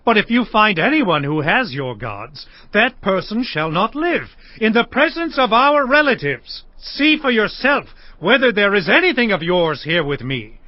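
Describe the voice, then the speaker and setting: Casting: high-pitched, hardworking herdsman for scripture drama, earnest and aggrieved, slightly dull sound. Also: sing-song, a little slow, few pauses, dry recording. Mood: defensive. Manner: earnest, declamatory. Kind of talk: dramatized audiobook acting. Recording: dull studio recording with music.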